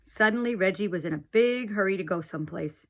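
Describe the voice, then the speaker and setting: Woman storyteller, medium pitch, teacher's read-aloud delivery with deliberate, expressive phrasing for children.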